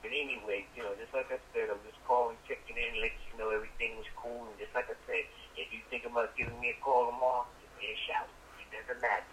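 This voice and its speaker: Forceful older man on a noisy phone call. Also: mid-range voice, fairly even intonation, fast talker, few pauses. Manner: folksy, insistent, leans on repeated catchphrases. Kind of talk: casual phone chat. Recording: noisy telephone call played over speaker.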